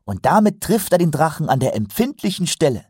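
A man's voice: fairly high pitch, sing-song, fairly quick, darkish tone, slightly rough, dry recording, studio quality, voice pushed up. Man as an earnest, lilting young recruit. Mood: earnest, confident. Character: earnest, naive, eager young watch recruit. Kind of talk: audiobook character voice.

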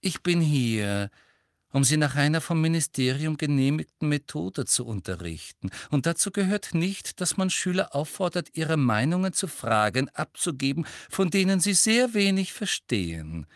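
Man voicing a saccharine, high-pitched female schoolteacher. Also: big pitch swings, no echo, studio quality. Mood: sugary menace. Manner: honey-sweet, condescending, deliberate. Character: saccharine, coldly authoritarian middle-aged female schoolteacher.

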